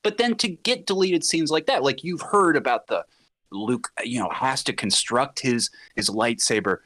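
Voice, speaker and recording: A man in casual podcast chat, animated and enthusiastic, playfully exaggerating as he goes. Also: medium pitch, normal speed. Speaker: podcast co-host or guest. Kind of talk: casual podcast chat about audio dramas.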